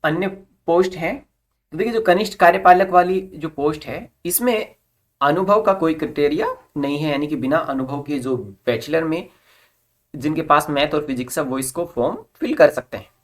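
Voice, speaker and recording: Mid-pitched presenter explaining a job notice. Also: moderate pace. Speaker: presenter of job recruitment updates. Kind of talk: informational explainer reading out a notice with plain explanations.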